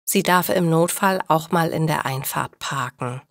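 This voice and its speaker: A woman's voice: low-pitched, measured pace, creaky, no echo, clean studio sound. A woman voicing a polite police officer in a story, courteous, official and reassuring.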